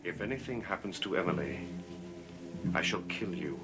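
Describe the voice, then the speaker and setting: Gothic soap lead: cursed master, stern, commanding, theatrical delivery, deeper register, prominent score underneath. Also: male voice, animated, normal speed, loud music behind the voice. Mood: stern, threatening. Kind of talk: 1960s gothic soap opera acting.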